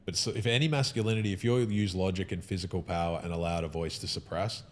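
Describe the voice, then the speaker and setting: Deep, fast-talking opinionated man. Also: steady tone. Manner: emphatic, opinionated, many restarts. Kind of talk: casual podcast conversation.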